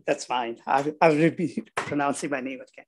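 A man's voice: mid-range voice, fairly even intonation, moderate pace, a little hiss or hum. A man from India with an Indian accent, giving a spoken comment at a public meeting.

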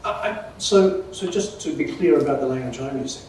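A man's voice: medium pitch, brisk, a little mellow, slight background noise. An older man explaining academic ideas on a conference panel in a precise, lecturing way with some stumbles. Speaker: keynote speaker. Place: lecture hall, indoors, standing. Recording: echoey hall from a distance.

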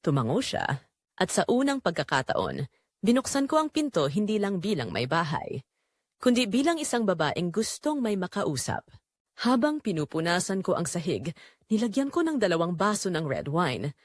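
A woman's voice: lowish voice, sing-song, normal speed, no room sound. A woman with a Filipino accent playing a tender, long-neglected middle-aged wife and mother, narrating her own romantic audio drama in an introspective, confessional way. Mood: wistful.

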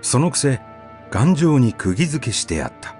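A man's voice: medium pitch, sing-song, measured pace, darkish tone. A man narrating a short story as a scripted audiobook reading, telling it expressively. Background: faint background music.

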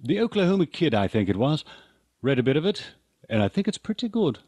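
An actor playing a wry, needling military police major in a radio drama, casually sardonic. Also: male voice, fairly high pitch, very animated, fairly quick, darkish tone, no echo. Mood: breezy, needling.

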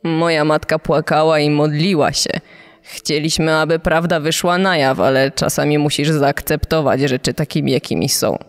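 A man's voice: on the high side, moderate pace, soft background music, close to an everyday voice. A man playing a grieving, resigned relative of an executed boy, giving plaintive testimony in a crime documentary. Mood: sad, resigned. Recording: long reverb.

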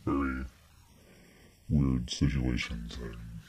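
A man in his twenties rambling casually through a vlog update, halting and often trailing off, recorded on a webcam at a desk. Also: a bass voice, little pitch movement. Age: 20s. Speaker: vlogger. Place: small bedroom indoors. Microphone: webcam at desk distance. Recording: noisy webcam audio.

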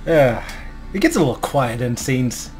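A man's voice: mid-range voice, animated, unhurried, a little mellow, soft background music. A young man giving casual, off-the-cuff commentary as a reaction host in a small room at home.

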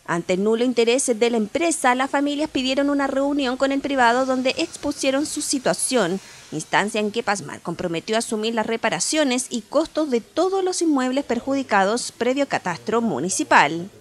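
A woman's voice: a little high, lively intonation, normal speed, few pauses, slight background noise. Woman reporter reading news voice-over.

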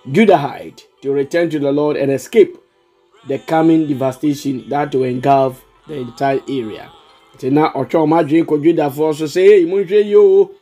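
A man's voice: on the high side, mellow. A Ghanaian man preaching a sermon, switching between Twi and English, earnest and exhorting, often repeating words. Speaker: pastor.

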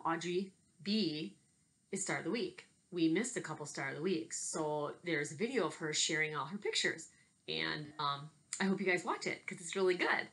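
A woman in a slightly low voice. She is chatty and expressive, addressing young children as their teacher would, in an informal home video with read-aloud storytelling. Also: rough recording. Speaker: elementary school teacher. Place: small bedroom at home, indoors. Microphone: laptop webcam at desk distance.

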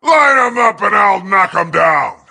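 A boisterous robot brawler who loves his guns. He is loud and gleeful, with a forceful, gravelly bark that swings widely, made for video game combat. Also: high-pitched, very animated, unhurried, heavily acted. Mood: gleeful, rowdy. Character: boisterous, trigger-happy male robot brawler.

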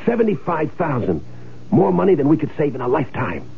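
Pushy, cynical radio-drama crook, thin sound. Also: male voice, on the high side, huge pitch swings, brisk, gravelly, heavily acted. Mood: pushy, scheming. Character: scheming, hard-bitten crook faking his own death. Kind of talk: 1970s radio drama acting. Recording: thin phone-like 1970s radio recording.

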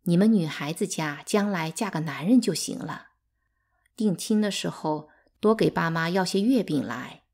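A gentle, weary mother from a storybook, played by a woman reader. She speaks softly and slowly, with sad resignation in a measured delivery. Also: lowish voice, lively intonation. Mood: resigned. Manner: soft, slow, measured.

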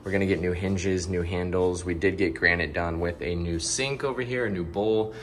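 Deep-voiced young man vlogging a condo tour. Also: steady tone, moderate pace, fairly bright tone, slight background noise. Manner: casual and chatty, addresses viewers as guys. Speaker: real estate investor vlogger. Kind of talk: casual walkthrough vlog. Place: empty condo under renovation, indoors. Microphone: phone camera held at arm's length.

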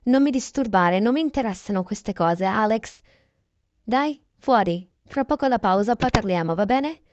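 A woman's voice: fairly high pitch, lively intonation, moderate pace, a little mellow, no echo, muffled recording. A woman narrating a casual improvised roleplay of her day, chatty and correcting herself as she goes. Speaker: narrator voicing a toy-game roleplay video.

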